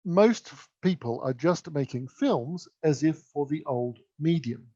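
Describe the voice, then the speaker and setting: A man's voice: a little high, lively intonation, a little slow, mellow. A man giving an instructive, emphatic conference keynote presentation. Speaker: keynote speaker. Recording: dull lo-fi sound.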